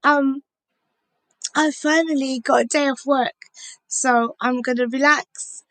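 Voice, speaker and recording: Hoarse woman in her fifties. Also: high voice, steady tone, slow pace, dry recording, low-quality recording. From England. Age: 50s. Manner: apologetic, warm thanks. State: losing her voice. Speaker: caller. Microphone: remote video call.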